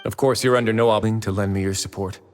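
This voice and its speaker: A man playing a composed, calculating duke in a video game, speaking in a measured, politely formal way. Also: lowish voice, fairly even intonation, a little hiss or hum, natural voice. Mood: composed, reflective. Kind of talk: scripted game dialogue. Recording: long reverb, music behind.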